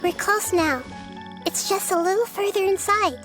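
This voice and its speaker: Childlike little goddess, very high light voice, gentle, composed, game music behind. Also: female voice, moderate pace. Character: childlike young goddess, kind, calm and wise. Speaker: game character. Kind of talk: video game voice acting.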